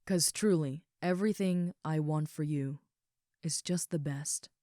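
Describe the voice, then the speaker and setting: A woman playing a caring, affectionate young ogre roommate in an intimate comfort roleplay, speaking softly and sincerely in a rambling, reassuring way. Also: low voice, unhurried, dry recording, studio quality. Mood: tender, sincere.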